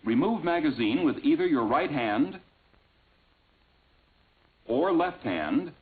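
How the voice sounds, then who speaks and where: A man reading scripted instructional narration in a measured, clearly enunciated way, on an old film soundtrack. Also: on the high side, big pitch swings. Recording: tinny, band-limited vintage film soundtrack.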